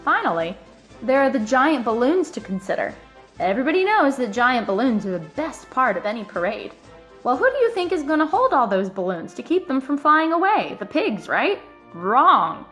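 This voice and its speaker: A woman reading a children's picture book aloud in an expressive, emphatic way, stretching out key words. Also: high voice, moderate pace, faint music behind. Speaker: storybook reader.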